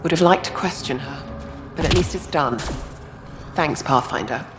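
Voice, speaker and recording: Stern game commander woman, kinda low, clipped, reverby with music behind. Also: a little slow. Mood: resigned. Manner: clipped, authoritative. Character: stern female undercover operative in charge. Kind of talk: video game voice acting.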